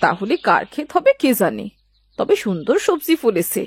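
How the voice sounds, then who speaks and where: A woman's voice: medium pitch, huge pitch swings, moderate pace, breathy edge, no room sound. A woman playing a chatty, inquisitive village aunt in a cartoon, talking in a familiar, gossipy way. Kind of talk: dramatised cartoon dialogue.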